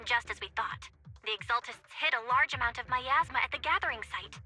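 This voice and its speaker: A woman's voice: fairly high pitch, brisk, pushed and bright. A woman voicing an earnest, determined young ally in an anime video game, purposeful and reassuring. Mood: determined, hopeful. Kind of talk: video game dialogue acting. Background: faint game music.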